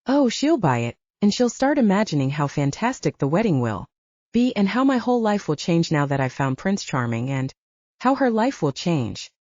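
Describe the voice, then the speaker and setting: A woman voicing an anxious, affectionate young woman writer in an expressive, wry, exasperated audiobook style. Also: fairly low pitch, moderate pace, no echo, muffled recording. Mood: worried, resigned. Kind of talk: audiobook dialogue acting.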